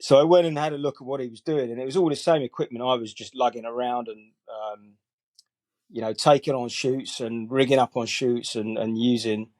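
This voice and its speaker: A man in his forties, a guest on a podcast, talking in a relaxed, rambling way full of fillers and restarts. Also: medium pitch, brisk, no room sound. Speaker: guest colorist. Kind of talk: casual interview chat.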